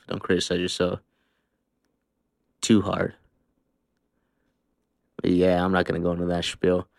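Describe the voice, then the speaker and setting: A man's voice: low-pitched, vocal fry, no room sound, studio quality. A young man hosting his own podcast alone, talking casually with lots of slang, swearing and filler words. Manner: laid-back, slangy and profane, with frequent fillers. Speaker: podcast host. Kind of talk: casual solo podcast monologue. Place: Utah, Salt Lake area.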